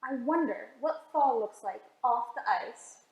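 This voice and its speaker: Woman voicing curious young penguin, kindly big brother, high voice, unhurried, storybook character, roomy echo. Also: animated.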